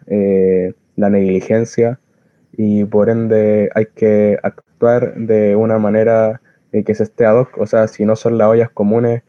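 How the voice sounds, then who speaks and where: Low, monotone Chilean man. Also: no room sound. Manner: halting, searching for words, earnest. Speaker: community soup kitchen volunteer. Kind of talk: informal spoken explanation of activism. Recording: dull lo-fi close recording.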